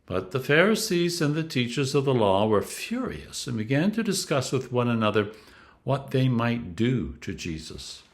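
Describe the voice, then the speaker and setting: A man reading scripture aloud as a clergyman, in a solemn, deliberate style with emphatic stresses. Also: medium pitch, big pitch swings.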